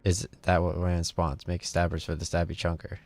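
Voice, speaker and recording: A man's voice: deep voice, completely flat delivery, moderate pace, a little mellow, no echo, natural voice. A man voicing a hungry, simple-minded ogre hunter from a game, in blunt broken grammar. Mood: eager, demanding. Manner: blunt broken-grammar speech, reading game dialogue aloud.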